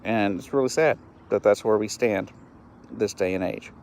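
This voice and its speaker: A man in his late thirties speaks on a solo live stream with a fairly low voice. He sounds earnest and frustrated, and he often trips over his words and starts them again. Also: moderate pace, darkish tone, a little hiss or hum. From East Texas, US. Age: 30s. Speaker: host of a live-stream show.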